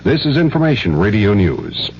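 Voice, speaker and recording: An American man anchoring a scripted 1970s radio newscast in a formal, measured announcer's manner. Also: on the low side, very animated. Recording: muffled 1970s radio, hiss and hum.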